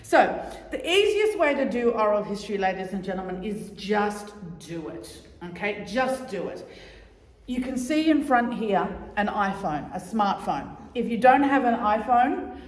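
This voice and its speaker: A middle-aged woman giving a loud, chatty, joking talk to a society audience, repeating her key points. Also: mid-range voice, very animated, a little slow. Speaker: guest speaker on oral history. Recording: echoey hall.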